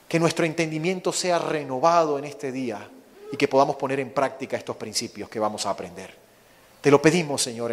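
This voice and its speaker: A Venezuelan man with a Venezuelan Spanish accent, a missionary preaching and praying fervently, slowing to stress his words. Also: mid-range voice, slight background noise. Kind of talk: sermon with opening prayer. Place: church sanctuary. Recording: long reverb of a church hall.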